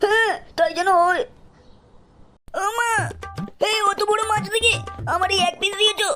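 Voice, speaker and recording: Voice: extremely high pitch, fairly even intonation, normal speed, over loud music. An actor playing an anxious, whiny young village boy in a cartoon, fretful and full of exclamations. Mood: fearful, uneasy. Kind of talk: Bengali cartoon acting.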